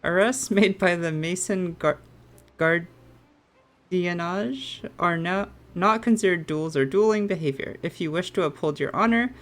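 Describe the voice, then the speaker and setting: A woman's voice: lowish voice, a little slow. A woman with a playful manner, casually chatting and reading aloud as she plays a video game. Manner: giggly, playful. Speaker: game streamer.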